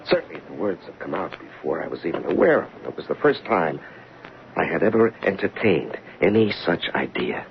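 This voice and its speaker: A man's voice: deep voice, sing-song, fairly quick, vocal fry, full character voice. Embittered ad man, wildly swinging delivery. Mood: brooding, intense. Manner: sarcastic, agitated, rhetorical questioning. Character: embittered, suspicious advertising man, husband unburdening to his doctor. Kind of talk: old-time radio drama acting. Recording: dull, noisy old radio recording.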